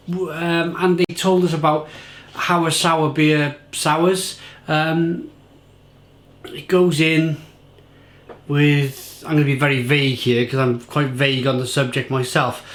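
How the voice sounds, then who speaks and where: An enthusiastic man reviewing a beer to camera, rambling and repeating himself, slightly croaky. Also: a little high, a bit bright, reverberant. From England. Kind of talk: casual tasting review to camera.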